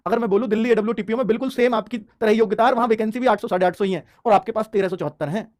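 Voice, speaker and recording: A man's voice: high-pitched, rapid-fire, a little mellow. A man giving an informal explainer lesson as a coaching teacher, emphatic and repetitive, often checking in with his students.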